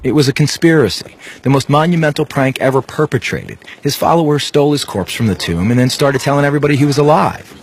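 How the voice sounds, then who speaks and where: A man's voice: medium pitch, lively intonation, quick pace, few pauses, slight background noise, close to an everyday voice. An actor playing a young male skeptic, talking in a casual, incredulous, slangy way in a scripted skit. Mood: skeptical, challenging. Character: skeptical, scoffing young male atheist challenging a believer.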